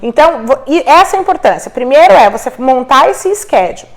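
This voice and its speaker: Mulher imigrante encorajadora, voz aguda. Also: female voice, high-pitched, lively intonation, a little fast. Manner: warm, encouraging, mixes English words into Portuguese. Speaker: host, homeschooling mother. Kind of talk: casual encouraging talk to parents.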